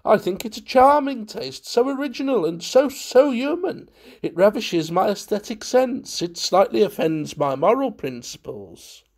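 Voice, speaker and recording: A man's voice: sits high, animated, a little slow, darkish tone, voice pushed up, exaggerated voice. A man voicing a flamboyant, affected society wit in a storybook, with theatrical, gleeful, lofty prattle. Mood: delighted. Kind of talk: dramatised storybook reading.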